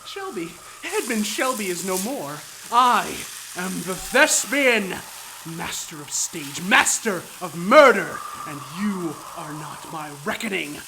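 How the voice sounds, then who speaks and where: An actor playing a deranged, vengeful thespian murderer in a melodramatic radio play, declaiming grandly. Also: male voice, sits high, sing-song, slow, sharp tone, a little hiss or hum, exaggerated voice, poor audio. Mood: menacing, grandiose. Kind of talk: 1940s-style radio melodrama acting.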